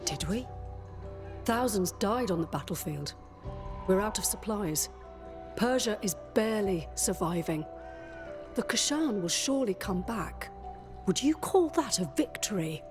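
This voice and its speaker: A woman's voice: medium pitch, animated, a little slow, pauses often, natural voice. Stern warrior mentor played by a woman, music behind. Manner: grave, instructive. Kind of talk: dramatic video game acting.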